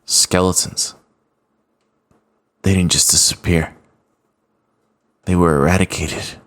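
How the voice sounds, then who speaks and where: A man's voice: sits low, fairly even intonation, slow, fairly bright tone, pitched below the performer's own voice, full character voice. A man voicing a determined, defiant young space ranger hero with dramatic delivery in a sci-fi story. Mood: shocked, grave.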